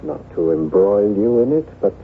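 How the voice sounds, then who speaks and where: A troubled nobleman in a vintage radio play. His low voice moves freely in pitch as he speaks with formal, deliberate gravity, through a narrow, tinny broadcast sound. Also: very animated. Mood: grave, hesitant. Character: troubled French nobleman, grave devoted husband. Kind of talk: 1970s radio drama acting. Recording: tinny narrow-band old radio, faint noise.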